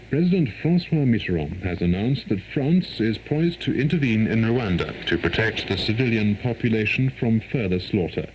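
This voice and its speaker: A low-pitched man reads world news in a formal, measured way. The voice comes over 1990s shortwave radio, with faint noise around it. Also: lo-fi sound. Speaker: radio newsreader. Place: broadcast studio, heard over shortwave.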